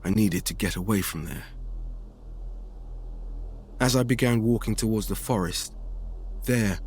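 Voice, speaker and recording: A man's voice: fairly low pitch, measured pace, darkish tone. Man narrating horror over faint background noise. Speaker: guest story reader. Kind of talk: scripted first-person horror narration.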